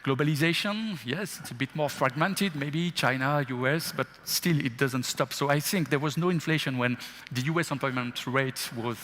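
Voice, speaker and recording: A man's voice: mid-range voice, moderate pace, hardly any pauses, fairly bright tone. A man speaking as a bank investment chief on stage at a fireside chat, answering with rhetorical questions and frequent laughs. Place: event stage in a large hall. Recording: long hall reverb.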